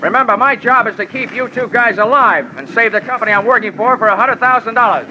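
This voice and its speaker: An actor playing a wry, slangy, hardboiled insurance investigator who also narrates an old-time radio drama. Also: male voice, very high voice, fast, bright, forceful tone, slight background noise, exaggerated voice. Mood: firm bargaining. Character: wry, tough American insurance investigator hero. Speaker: lead and narrator. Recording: muffled old radio recording.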